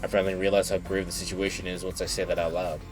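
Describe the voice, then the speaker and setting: A man's voice: low voice, little pitch movement, fast. A man doing casual live stream commentary while reading game text aloud, with offhand asides. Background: game music.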